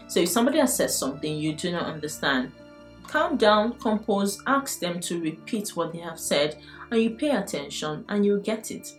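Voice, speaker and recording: A woman from Nigeria with a Nigerian accent, giving earnest, encouraging advice in a vlog. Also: low voice. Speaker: vlogger. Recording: faint guitar music behind.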